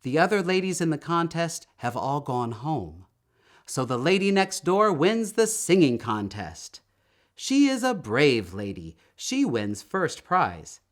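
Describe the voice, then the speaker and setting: Man reading as a formal, animated male announcer. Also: on the high side, slow pace. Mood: proclaiming. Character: formal male announcer addressing a park crowd. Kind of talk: storybook character reading.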